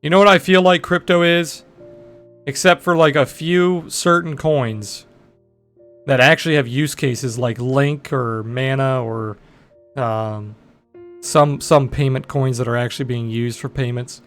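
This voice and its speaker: A young man in his twenties with a deep voice, chatting bluntly and profanely with viewers on a trading livestream. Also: fairly even intonation, unhurried, a bit bright, soft background music. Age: 20s. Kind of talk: casual livestream chat answering viewers.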